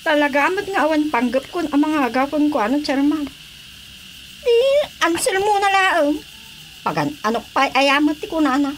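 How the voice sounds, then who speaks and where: A woman's voice: sits high, animated, normal speed, a little hiss or hum, rough recording. An actress with an Ilocano accent playing a feisty, quarrelsome village woman in a radio comedy drama. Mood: grudging.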